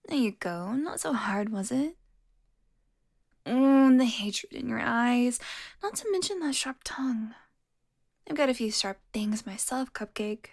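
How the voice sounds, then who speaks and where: Sultry, taunting vampire played by a woman, higher-pitched. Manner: taunting, sultry, drops into breathy whispers. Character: teasing, predatory female vampire, smug and flirtatious. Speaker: audio roleplay performer. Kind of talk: intimate audio roleplay acting.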